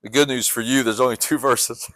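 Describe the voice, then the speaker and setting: A man's voice: medium pitch, steady tone, brisk, fairly bright tone, no room sound. A man preaching a sermon as pastor, with a strong voice, urging his listeners in earnest, repeating and restarting his phrases. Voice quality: strong coach-like voice. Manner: earnest, repetitive exhortation with restarts.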